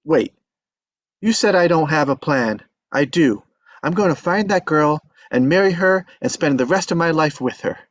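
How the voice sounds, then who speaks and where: A man's voice: fairly high pitch, lively intonation, moderate pace, dry recording, dull audio. A man reading an earnest young man in love. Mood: pleading. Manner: earnest, pleading. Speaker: table read actor. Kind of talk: live table read acting.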